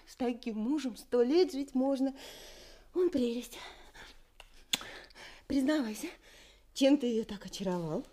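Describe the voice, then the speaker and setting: Актриса в радиоспектакле играет игривую влюблённую молодую невесту, щебечет поддразнивающе, с придыханием. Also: female voice, high-pitched, animated, measured pace, pauses often, warm tone. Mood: delighted. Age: young adult. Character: playful, adoring young bride-to-be. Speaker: radio drama actor. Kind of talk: 1980s Soviet radio play acting.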